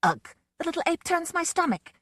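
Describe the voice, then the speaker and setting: A coarse, teasing young bride from a circus tale, as a woman reader plays her. She sneers at her tiny husband and swings her pitch up and down with relish. The delivery is close and dry. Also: very animated, brisk, a bit bright, no room sound, exaggerated voice. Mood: contemptuous.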